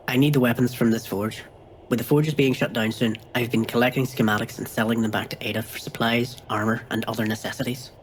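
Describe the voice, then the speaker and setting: A man's voice: mid-range voice, steady tone, brisk, a little hiss or hum, lightly acted, poor audio. A man playing a guarded, wry outcast in a science fiction audio drama. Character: outcast male sci-fi exile, guarded, wry, pleading.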